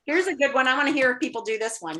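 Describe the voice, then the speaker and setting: A woman teacher runs a casual class game on a video call, playful and encouraging. Her voice is high and bright, with clear background noise behind it. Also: animated, very fast. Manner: playful, prompting and encouraging children.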